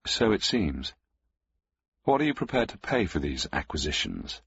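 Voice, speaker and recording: A man plays a self-assured master thief in a radio story, dry and mildly ironic. The voice is very deep and husky, on a muffled, dry recording. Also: big pitch swings, normal speed, a bit bright, exaggerated voice. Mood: wry, guarded.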